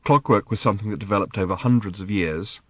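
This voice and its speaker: A man's voice: lowish voice, fast talker, dry recording, lightly acted. A man reading an audiobook, voicing a mild, bewildered father who explains things hesitantly. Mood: explaining. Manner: hesitant, apologetic, gently explaining.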